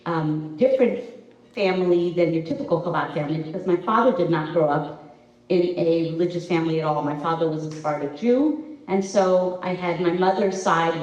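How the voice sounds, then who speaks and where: A middle-aged woman from the Boston area talks through her memories slowly, pausing often with "um." Her voice sits low. She was recorded from a distance in an echoey event room, and the sound is dull. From Boston area, USA. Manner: warm storytelling, many um pauses. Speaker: interview guest, preschool director. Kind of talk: casual conversational interview. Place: community center event room.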